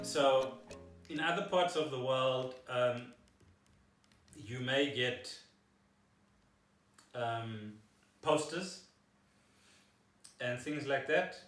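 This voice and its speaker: A man's voice: medium pitch, drawn-out words, long pauses, a bit bright, faint music behind. A South African man chatting casually and loosely as a podcast host. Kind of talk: casual rambling chat. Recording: echoey room, distant microphone.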